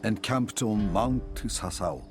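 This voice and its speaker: A man narrating samurai wars for a video game cutscene as a grave chronicler, in measured, dramatic storytelling. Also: fairly low pitch. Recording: music under the narration. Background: cinematic music.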